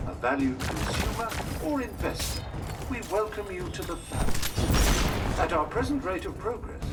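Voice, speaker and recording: A man's voice: on the high side, recorded from a distance, heavy background noise. A man playing an upbeat corporate promotional announcer in a futuristic game, grand and salesmanlike in polished scripted narration.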